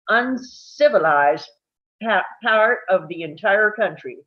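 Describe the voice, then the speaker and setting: A woman reading scripted narration aloud at a live table read, telling it like a story and now and then stumbling over a word. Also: medium pitch. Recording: dull, lo-fi sound.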